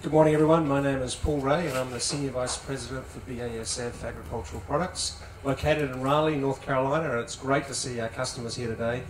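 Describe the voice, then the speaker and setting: A man, a company executive, speaking smoothly and in a practiced way on a panel in a large tent. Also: medium pitch, steady tone, fast, hardly any pauses, a crowd in the background. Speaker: senior vice president on a panel. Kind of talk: panel discussion. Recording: roomy sound from some distance, faint noise.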